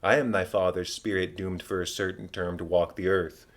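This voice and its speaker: A man voicing the ghost of a murdered king, a solemn, tormented, vengeful father declaiming in drawn-out phrases in a Shakespeare audiobook. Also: sits low, steady tone, pitched below the performer's own voice, close to an everyday voice. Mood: solemn, ominous. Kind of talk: dramatic Shakespeare audiobook reading.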